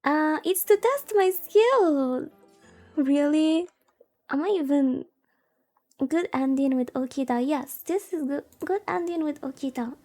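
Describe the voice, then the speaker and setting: High-voiced, mellow woman streamer. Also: a little slow. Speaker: VTuber streamer. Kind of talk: casual chat while playing a visual novel. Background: game music.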